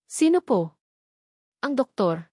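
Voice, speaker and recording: A woman's voice: fairly high pitch, big pitch swings, slow pace, a little mellow, slightly rough, no echo, exaggerated voice. A young rich heir from a romance audio story, read by a woman narrator. He is guarded and coldly polite, keeping himself controlled. The recording is dry, with no room sound. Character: guarded young billionaire grandson feigning calm.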